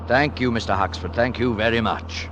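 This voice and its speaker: An actor playing a shaken, courteous gentleman in a 1940s radio drama, hesitant and stammering. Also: medium pitch, normal speed, natural voice. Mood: shaken, grateful. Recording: noisy 1940s radio broadcast.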